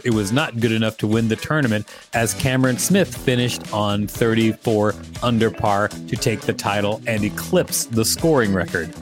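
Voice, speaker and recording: A man co-hosting a show, reading an article aloud in a narrator's style and breaking off for playful, mock-serious banter. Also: medium pitch.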